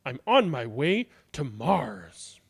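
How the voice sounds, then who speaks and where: A man's voice: medium pitch, sing-song, slow pace, fairly bright tone, breathy edge, pitched below the performer's own voice, full character voice. A man voicing a small imaginative storybook boy who plays astronaut, self-important and politely dismissive. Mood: polite refusal.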